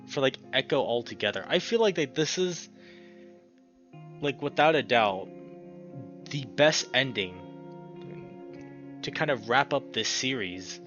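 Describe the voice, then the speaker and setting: A man's voice: mid-range voice, expressive, unhurried, long pauses, fairly bright tone, highs cut off. A man giving casual, emotional gameplay commentary as a let's play host, effusive and exclamatory, drawing out his words over game music.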